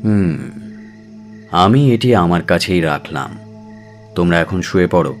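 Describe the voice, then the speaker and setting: A stern, calm monastery teacher in a radio drama, voiced by a man. He speaks gravely and formally, very low and slowly, with soft music behind.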